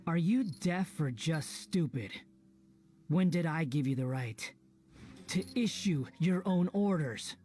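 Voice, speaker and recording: Imperious young villain, lightly pitched. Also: male voice, expressive, slow pace, darkish tone. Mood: contemptuous. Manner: imperious, haughty. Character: arrogant, menacing young male villain commander. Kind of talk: video game voice acting.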